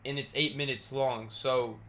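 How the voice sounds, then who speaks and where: A man's voice: mid-range voice, steady tone, unhurried, pushed and bright. A man giving casual reaction commentary as a video host, talking in loose slang with some swearing.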